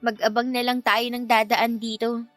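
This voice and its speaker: Actress as a tipsy, lovestruck young Filipino woman, high-voiced. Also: flat delivery, moderate pace, lightly acted. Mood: sleepy, shy. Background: music.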